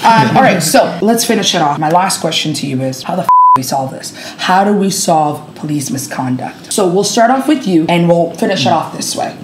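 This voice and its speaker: A woman's voice: fairly low pitch, sing-song, brisk, noisy background. Woman host, blunt casual panel chat, roomy echo. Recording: echoey room.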